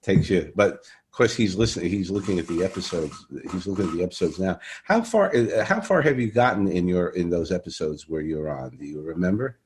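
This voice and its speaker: Very deep-voiced man interviewing, halting. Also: animated, a little mellow, slight background noise. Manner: halting, repeats himself, rambling. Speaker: podcast interviewer. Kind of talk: casual conversational interview.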